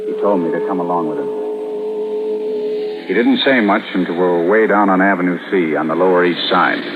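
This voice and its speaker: A man's voice: sits low, a little fast, lightly acted. An American man playing a troubled husband in a 1950s radio suspense drama, terse, plain-spoken and brooding. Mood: somber, weary. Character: troubled husband, a juror, narrating his own crime tale. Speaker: radio drama actor and narrator. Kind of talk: 1950s radio suspense acting with first-person narration. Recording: dull old radio recording with loud music.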